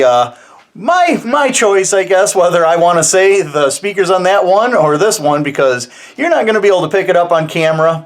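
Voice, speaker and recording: A man hosting a DIY build video, talking informally and folksily through a casual hands-on demonstration. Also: a little high. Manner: informal, folksy, mild slang. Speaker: host of a DIY truck build channel.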